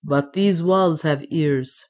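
A woman's voice: low voice, slow pace, a little mellow. A woman voicing a grave, sorrowful soldier. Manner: grave, formal. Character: grave male soldier Ulfan, honest and sorrowful.

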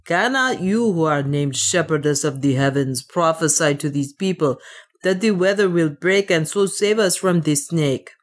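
A woman's voice: deep voice, moderate pace. A woman reading an audiobook, voicing a miserable, repentant male chief who groans and pleads. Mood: pleading.

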